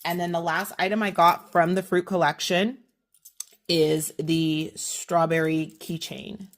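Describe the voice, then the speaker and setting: A woman's voice: lowish voice, flat delivery, unhurried. A woman with a slight cold and a sniffle, chatting through a shopping haul and correcting herself as she goes. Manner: chatty, self-correcting, detailed. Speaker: haul vlogger. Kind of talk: casual product haul walkthrough.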